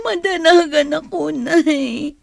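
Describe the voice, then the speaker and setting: A woman's voice: sits high, takes it slowly, vocal fry, dry recording, full character voice. A woman acting a fretful, scheming female office worker in an Ilocano radio drama. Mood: worried, tearful.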